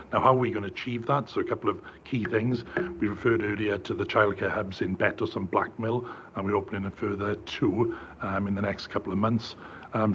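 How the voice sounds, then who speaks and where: A man, a council education director, briskly setting out targets at a formal committee meeting. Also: on the low side. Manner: brisk, earnest, listing targets. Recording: dull lo-fi meeting audio with faint room noise.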